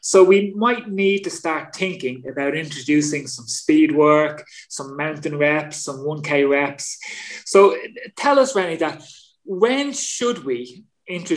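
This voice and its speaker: A man's voice: fairly high pitch, poor audio. A man hosting a podcast in a chatty, casual way, often repeating words as he thinks. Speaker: podcast host.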